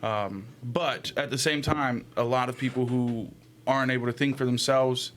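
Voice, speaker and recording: A man's voice: mid-range voice, fairly even intonation, normal speed, fairly bright tone. A man in a casual podcast chat, explaining things in a slangy way with some swearing. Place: small room set up as a podcast studio. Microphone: close podcast microphone on a stand. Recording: clear background noise.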